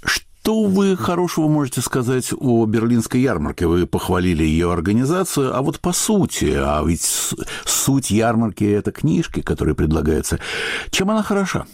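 A man's voice: medium pitch, very animated, normal speed, darkish tone, no room sound, studio quality. A man interviewing a guest, asking probing questions.

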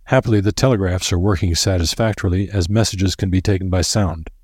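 A man playing a courteous Prime Minister under strain, speaking in a quiet, measured, unemotional storybook voice. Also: sits low, husky, no echo, clean studio sound. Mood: grave.

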